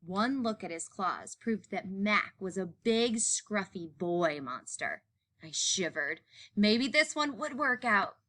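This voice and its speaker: A woman reading a children's picture book aloud in an expressive narrating style. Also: medium pitch, takes it slowly. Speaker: story reader.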